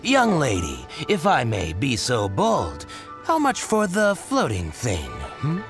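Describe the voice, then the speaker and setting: Shifty but playful male merchant for a game: theatrical, unhurried, swooping delivery. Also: medium pitch, huge pitch swings, exaggerated voice. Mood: sly, teasing. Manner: theatrical, teasing, ingratiating. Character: smooth-talking, playful small-time male merchant, slightly shifty. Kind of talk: video game character acting. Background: game background music.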